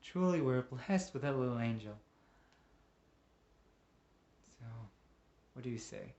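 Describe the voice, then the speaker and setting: A man playing a volatile, possessive husband who lurches between hushed pleading, whispers and screaming rage, stammering when he turns apologetic. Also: mid-range voice, animated, normal speed, muffled recording, a little hiss or hum, natural voice. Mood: coaxing.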